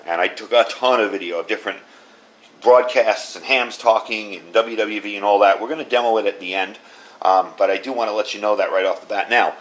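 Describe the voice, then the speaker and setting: A man's voice: lowish voice, poor audio. A middle-aged man giving a casual hands-on product demo, explaining things and repeating words for emphasis. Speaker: video host reviewing radio gear.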